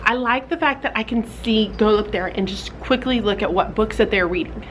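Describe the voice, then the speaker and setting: A woman's voice: a little high, normal speed, slight background noise, poor audio. A young woman, a fourth grade teacher, talking casually in a vlog, a little stuffy from a cold, with lots of "like" and "um". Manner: chatty, filler-heavy with "like" and "um". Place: classroom.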